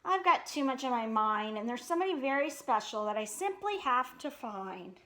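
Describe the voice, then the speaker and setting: A woman's voice: high voice, very animated, normal speed, bright, forceful tone, heavily acted. A woman voicing a small boy bear cub in a storybook, earnest, polite and pleading. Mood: apologetic, preoccupied.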